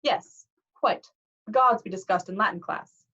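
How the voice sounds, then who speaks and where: A woman voicing a kindly, wise older male teacher who speaks in a gentle, measured, formal way. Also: mid-range voice, breathy edge, highs cut off. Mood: earnest.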